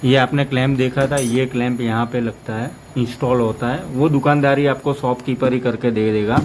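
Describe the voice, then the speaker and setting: A man's voice: mid-range voice, fairly even intonation, normal speed, darkish tone, slight background noise, low-quality recording. A man giving a casual, rambling product demo as a motorcycle vlogger, stringing his points together with "plus".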